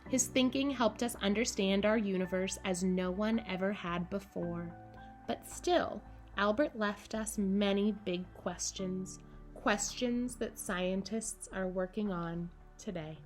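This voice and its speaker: Expressive woman reading aloud, music behind. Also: medium pitch. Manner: expressive, addressing young listeners and a companion character playfully. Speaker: camp director reading a picture book to children. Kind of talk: children's storybook read-aloud. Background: background music.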